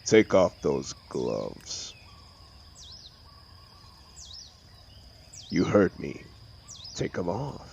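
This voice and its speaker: A man playing a brooding telepathic villain with a gentle protective side, in an intimate audio drama, speaking theatrically in a gravelly, sometimes breathy and hushed voice. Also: low voice, sing-song, lo-fi sound, a little hiss or hum, full character voice. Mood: intent, commanding. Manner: slow, theatrical, hushed, commanding yet soothing. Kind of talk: audio roleplay drama, intimate comforting monologue.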